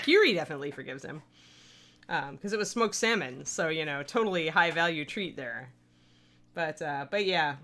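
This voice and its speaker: A woman's voice: low-pitched, fairly bright tone. A woman with a Midwestern accent, chatting casually and rambling as she teaches miniature painting on a livestream.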